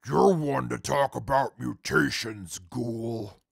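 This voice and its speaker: A stern, disdainful companion who takes charge, acted by a man. The delivery is slow, curt and deadpan, deeply creaky and rough, in a dry audio-drama recording. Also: dry recording, full character voice. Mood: disdainful, impatient. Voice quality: very gravelly, husky. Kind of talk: comic post-apocalyptic audio drama acting.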